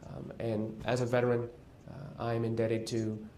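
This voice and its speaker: A man's voice: medium pitch, monotone, a crowd in the background. Earnest man in a news interview. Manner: earnest, measured, with frequent um and uh. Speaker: political guest.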